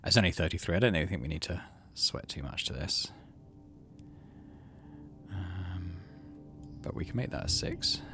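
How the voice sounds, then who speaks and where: A man's voice: low-pitched, lively intonation, normal speed, pauses often, fairly bright tone, soft background music. A man giving casual playthrough commentary on a game, thinking aloud and reading its story text out. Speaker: gaming channel host.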